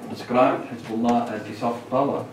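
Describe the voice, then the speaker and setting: A middle-aged man with a Lebanese accent giving a measured academic presentation at a lectern, pausing between listed points. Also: mid-range voice, fairly even intonation, a little hiss or hum. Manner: measured, lists points with pauses. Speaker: conference speaker. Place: large lecture hall. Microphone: lectern microphone, far camera. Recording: echoey hall recorded from far off.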